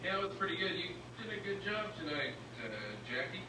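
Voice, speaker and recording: A man's voice: high voice, lively intonation, fast talker. Male actor as comedy show emcee, offhand, jokey, crude, echoey distant room, very noisy. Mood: casual. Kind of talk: staged script reading. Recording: echoey room, distant, loud crowd noise.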